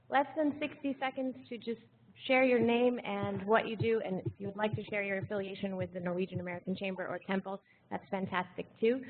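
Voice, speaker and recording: A woman moderates a panel, calling on speakers in a polite, guiding way. Her voice sits mid-range and reaches the mic through the long reverb of a hall. The sound is thin and phone-like, with faint noise underneath. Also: medium pitch. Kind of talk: event moderating. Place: event hall.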